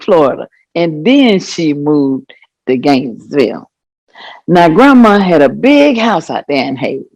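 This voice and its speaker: A woman playing an elderly grandmother in a staged reading, telling her memories in a folksy, expressive way. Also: low-pitched, husky, no room sound, full character voice. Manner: folksy, expressive, unhurried storytelling with exclamations. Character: elderly Southern grandmother reminiscing fondly and animatedly. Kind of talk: staged reading of oral history.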